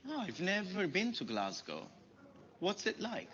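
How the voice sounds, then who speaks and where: A friendly welcoming host. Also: female voice, lowish voice, wildly swooping pitch, a little slow. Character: friendly young woman offering homemade sweets. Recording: muffled lo-fi video audio.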